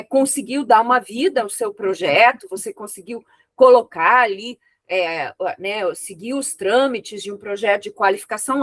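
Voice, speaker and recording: A woman with a fairly high voice, speaking a second language after growing up with an unwritten oral mother tongue, gives an examiner's frank and thoughtful critique. She often stops on short filler sounds while she works out her next point. The sound is dry and close, as on a video call. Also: a bit bright, no echo. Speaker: examiner on a master's thesis committee. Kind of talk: spoken academic feedback to a student.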